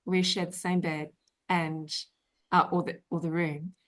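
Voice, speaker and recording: A woman's voice: fairly low pitch, little pitch movement, unhurried. A middle-aged woman hosting an interview, talking in a chatty, rambling way with many fillers. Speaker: podcast host. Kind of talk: casual interview chat.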